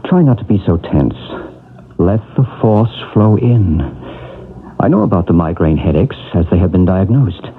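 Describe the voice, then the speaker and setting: An actor playing a gentle, probing male psychic healer who talks in a soft, measured, reassuring way, in a radio drama. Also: sits low, expressive, slight background noise. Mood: calm. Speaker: psychic researcher. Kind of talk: 1970s radio drama acting. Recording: tinny old radio broadcast.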